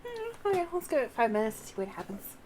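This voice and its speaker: A woman's voice: high-pitched, big pitch swings, fast, slight background noise. A woman doing casual live gameplay commentary, muttering and thinking aloud to herself. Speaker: game streamer.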